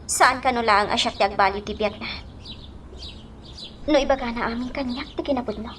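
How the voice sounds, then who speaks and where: A woman's voice: on the high side, fairly quick, fairly bright tone, slight background noise, close to an everyday voice. A woman playing a concerned, kindly young employer in a radio drama, talking in an earnest, questioning way. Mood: earnest, puzzled.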